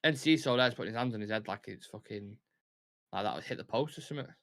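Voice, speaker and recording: A man's voice: lowish voice, quick pace, fairly bright tone. A young man with a Mancunian accent hosting a live football watch-along, chatting and commentating casually in a blunt, sweary, opinionated way.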